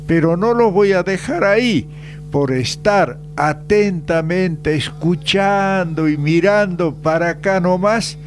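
A man's voice: high-pitched, takes it slowly. A man with an Argentine accent delivering a folksy, sentimental, nostalgic monologue on the radio. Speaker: radio segment storyteller. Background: music.

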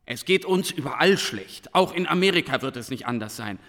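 A man's voice: medium pitch, animated, a little fast, a bit bright, long reverb tail. A man in a radio play acting an earnest, brooding schoolteacher who questions and reflects with intensity. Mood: troubled. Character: brooding, earnest male schoolteacher, fascinated and indignant. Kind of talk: German radio drama dialogue.

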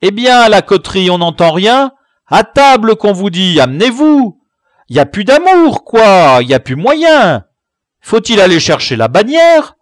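A man voicing a bossy village boy gang leader who shouts commands loudly and impatiently. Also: fairly high pitch, big pitch swings, measured pace, no room sound, studio quality, voice pushed up.

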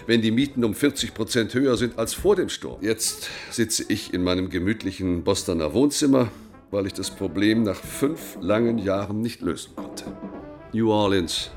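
Male actor as resigned critic in radio play, lowish pitch, measured delivery, soft music underneath. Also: lightly acted. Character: frustrated, resigned man listing a recovery's failures. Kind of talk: radio drama monologue.

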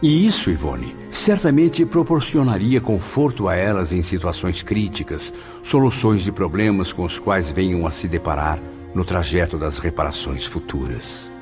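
A solemn, low-voiced Brazilian spirit narrator. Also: male voice, animated, normal speed, few pauses, over music. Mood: earnest. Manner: solemn, formal, reflective. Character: Camilo, earnest male spirit recounting his afterlife studies with wonder. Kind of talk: radio drama narration in character.

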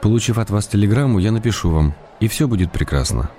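A man acting playful male letter-writer, low pitch, measured declamation, wry gallant temper, radio play, music clearly behind. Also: expressive, dark tone, lightly acted. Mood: courteous, teasing. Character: playful, courteous male writer reading out his affectionate letters.

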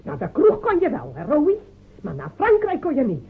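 An actress in a radio play playing a fierce, scornful village woman with a taunting, sharp-tongued delivery. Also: high-pitched, huge pitch swings, brisk, exaggerated voice. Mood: furious, scornful. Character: fierce, scornful village woman defending her dead man. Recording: tinny, noisy old radio drama recording.

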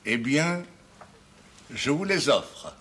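Slow, pompous parish priest, echoing hall. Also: male voice, medium pitch, sing-song, a bit bright. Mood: gracious, courtly. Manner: formal, pompous, ornate wording with French phrases. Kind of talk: television period drama acting. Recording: echoey hall, long reverb, faint noise.

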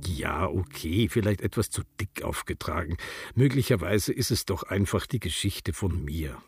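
Wistful older storyteller, animated pitch. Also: male voice, moderate pace, husky, no echo, heavily acted. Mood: wry, matter-of-fact. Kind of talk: radio drama narration. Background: music.

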